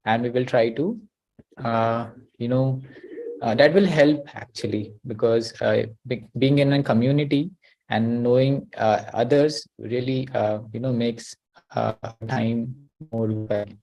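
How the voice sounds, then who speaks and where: A man's voice: medium pitch, steady tone, measured pace, creaky, a little mellow, poor audio. A man hosting an online class over a video call. He talks hesitantly, with many uh and you know.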